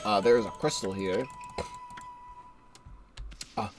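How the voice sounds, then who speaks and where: A man's voice: lowish voice, takes it slowly, faint music behind. Congested young man streaming a game. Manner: rambling, self-correcting, swears casually. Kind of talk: casual live gameplay commentary.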